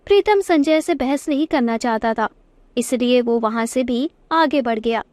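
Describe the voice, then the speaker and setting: High-pitched woman narrating a story. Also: darkish tone, dry recording. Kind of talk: scripted Hindi story narration.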